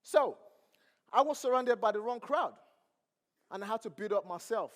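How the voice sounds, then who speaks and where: A man's voice: sits high, expressive, normal speed. A man with a Nigerian accent, English not his first language, giving a motivational speech on stage and asking the crowd questions. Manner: preachy, asks the crowd questions. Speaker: motivational speaker. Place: large event hall. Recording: long hall reverb.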